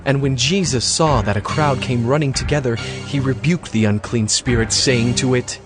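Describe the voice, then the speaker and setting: A man's voice: mid-range voice, normal speed, over music. A man narrating scripture from a script as the storyteller of a dramatized audio reading.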